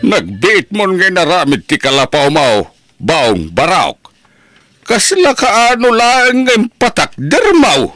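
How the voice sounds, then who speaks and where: Man acting animated, high-voiced Ilocano village uncle. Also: slow, faint music behind, exaggerated voice. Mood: friendly, chatty. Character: village uncle, helpful but easily scandalised elder. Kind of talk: amateur Ilocano radio-style drama acting.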